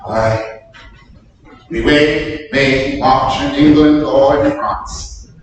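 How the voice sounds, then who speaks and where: A man playing a grave, brooding English nobleman in a solemn Shakespearean staged reading. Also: steady tone. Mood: grave foreboding. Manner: slow, solemn declamation. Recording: echoey distant room, faint rumbling noise.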